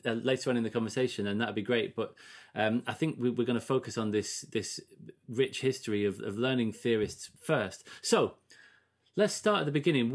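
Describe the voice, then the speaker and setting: Male podcast interviewer with tentative phrasing. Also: medium pitch. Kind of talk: conversational interview questions.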